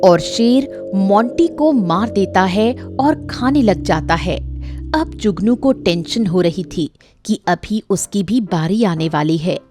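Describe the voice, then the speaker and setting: A woman tells a scripted children's story as its narrator. Her voice is soft-toned and mid-pitched, slow but with very varied intonation. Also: expressive. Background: faint music.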